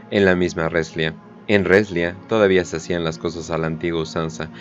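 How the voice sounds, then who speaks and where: Deep, even-voiced man narrating. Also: lo-fi sound. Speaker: audiodrama narrator. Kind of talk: scripted narration of a war story.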